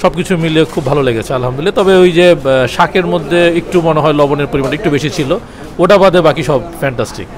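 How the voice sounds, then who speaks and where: A man's voice: on the high side, fast, music in the background. A man with a Bangladeshi accent chatting casually as a dining companion giving his opinion of a meal. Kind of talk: casual chat. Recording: busy urban chatter and traffic behind.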